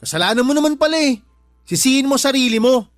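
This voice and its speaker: Drunk young bully, high voice, sneering, menacing, defiant, radio drama, background music. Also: male voice, expressive, fast talker. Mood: sneering, irritated. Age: young adult. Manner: sneering, insulting, blame-shifting. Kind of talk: Tagalog radio drama acting.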